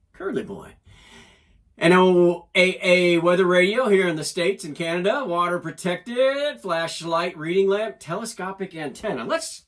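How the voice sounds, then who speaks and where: A man's voice: high-pitched, a bit bright. A man giving a casual, folksy hands-on demonstration as a DIY host, often talking half to himself. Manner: folksy, talks to himself while working. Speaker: DIY channel host and product reviewer.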